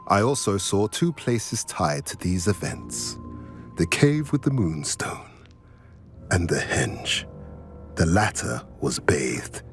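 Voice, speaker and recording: A slow, solemn centaur seer. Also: male voice, low voice, very animated, soft background music, full character voice. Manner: slow, measured, solemn and riddling. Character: wise old male centaur stargazer, calm and cryptic. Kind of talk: video game voice acting.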